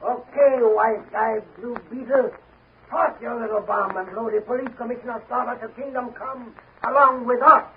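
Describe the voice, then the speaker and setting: An actor playing a ruthless, clipped, menacing gang boss in 1940s radio style on a very noisy old recording. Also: male voice, extremely high pitch, fairly even intonation, moderate pace, phone-quality sound. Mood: taunting. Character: ruthless, sneering male gangster boss.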